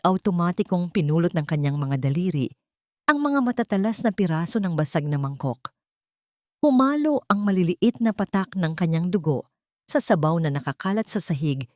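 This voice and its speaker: A woman's voice: on the low side, darkish tone, no echo, studio quality. A woman narrator reading a dramatic scripted story in solemn, literary phrasing.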